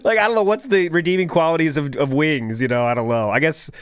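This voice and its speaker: A man's voice: on the high side, quick pace. A man hosting a streamed commentary show, chatting casually and mockingly and slipping into sarcastic imitations of others.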